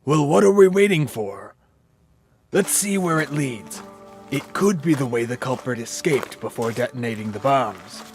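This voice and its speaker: A man's voice: mid-range voice, lively intonation, normal speed, lightly acted. A man playing a pragmatic, determined ninja investigator in an audio drama. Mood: focused. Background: prominent music.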